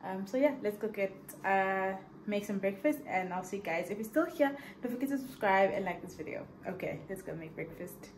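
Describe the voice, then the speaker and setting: A woman's voice: mid-range voice, brisk, hardly any pauses. Chatty woman vlogging. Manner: chatty, rambling, thinks aloud. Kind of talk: casual vlog chat to camera. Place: at home, partly in a kitchen. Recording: home video with steady background noise.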